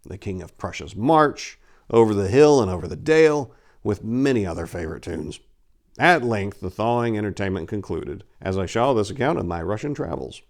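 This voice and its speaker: A man's voice: lowish voice, lively intonation, normal speed. A man voicing a boastful, gallant aristocratic adventurer, telling tall tales in a grand, theatrical storybook manner. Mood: grand, earnest. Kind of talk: dramatic reading of an old storybook.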